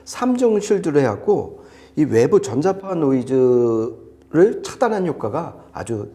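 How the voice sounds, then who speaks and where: A man with a soft, mellow voice and a very lively pitch, giving a conversational gear review. He talks enthusiastically and puts emphasis on his points. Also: measured pace. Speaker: audio gear reviewer on a shop's video show.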